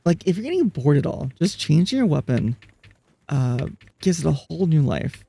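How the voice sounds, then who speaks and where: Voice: medium pitch, big pitch swings, normal speed, creaky, warm tone, husky. A streamer chatting casually during a live gaming stream, laughing often, reading chat aloud and restarting sentences. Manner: frequent restarts and fillers.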